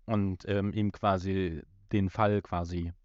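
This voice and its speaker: Male podcast host, low voice, halting conversational delivery, slightly muffled recording. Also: fairly even intonation, dry recording. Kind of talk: casual discussion of an audio drama episode.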